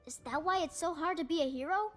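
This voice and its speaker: A woman voicing an earnest, excitable little boy hero in a video game, with childlike delivery. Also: very high-pitched, fast talker, faint music behind. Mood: wistful, tender. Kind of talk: video game character voice lines.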